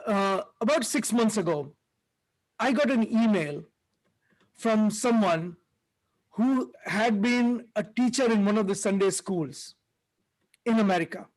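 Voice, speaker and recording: A man with a notably high voice hosts a discussion podcast. He reads passages aloud, then comments on them in a halting, restarting way. His talk is full of fillers. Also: animated, darkish tone, low-quality recording. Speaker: podcast host. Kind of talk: commentary reading an article aloud.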